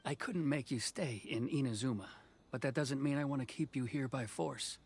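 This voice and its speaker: An actor playing a serious, conflicted young officer in an anime game, with a formal, restrained manner. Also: male voice, mid-range voice, normal speed, a little mellow, a little hiss or hum, lightly acted. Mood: resigned, reflective. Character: earnest, conflicted young male commission officer. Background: background music.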